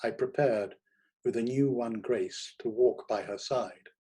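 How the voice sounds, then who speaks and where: A man narrating a literary short story in a theatrical, expressive manner. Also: medium pitch, measured pace, breathy edge. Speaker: reader of short stories.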